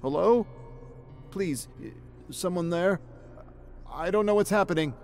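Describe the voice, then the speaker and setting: A man's voice: sits high, takes it slowly, darkish tone, faint music behind, pitched above the performer's own voice. A man voicing a frightened, pleading fairground victim, anxious and helpless, in a horror story narration.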